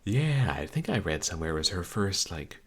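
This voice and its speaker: A man live-streaming a video game, talking calmly and softly, with a rambling, casual flow full of fillers. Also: sits low, a little fast, husky. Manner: soft-spoken, calm, rambling with fillers.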